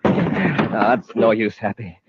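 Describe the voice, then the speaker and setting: Casting: steady, commanding space patrol leader, lower register, vintage radio drama sound. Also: male voice, slow, very noisy. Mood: calm, determined. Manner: firm, authoritative. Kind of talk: 1950s radio serial acting. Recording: dull old radio broadcast recording.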